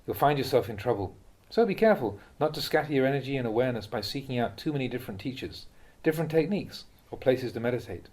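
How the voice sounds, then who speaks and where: A man reads translated Buddhist teachings aloud in a measured, instructive way with clear phrasing. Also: mid-range voice, animated, a little mellow. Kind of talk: audiobook-style reading of spiritual talks.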